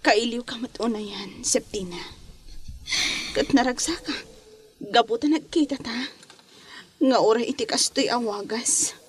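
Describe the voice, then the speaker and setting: A woman playing a gentle, forgiving young jailed rebel in an Ilocano radio drama, with an Ilocano Filipino accent and earnest delivery. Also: fairly high pitch, measured pace, long pauses, lightly acted. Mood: glad, tender.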